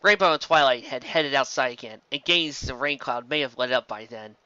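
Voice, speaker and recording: A man reading fantasy fiction aloud as an amateur storyteller, expressive, occasionally stumbling over words. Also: mid-range voice, fairly quick, smooth, dry recording, highs cut off.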